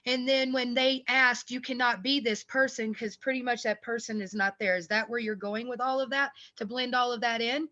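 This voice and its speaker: A woman's voice: on the high side, fairly even intonation, normal speed, few pauses, pushed and bright. A woman taking part in a casual online discussion and asking questions, heard through dull, lo-fi video call audio.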